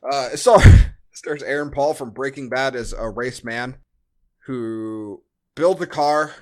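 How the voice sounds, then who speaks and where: A man's voice: mid-range voice, takes it slowly. A man hosting a podcast, chatting casually as he reviews a movie. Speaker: podcast host.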